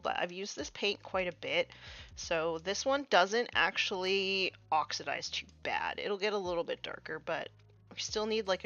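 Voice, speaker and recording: A woman's voice: mid-range voice, a bit bright, highs cut off. A young woman hosting a live stream, chatting casually and loosely with lots of fillers while she works, a little sniffly from a cold coming on. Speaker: stream host painting miniatures.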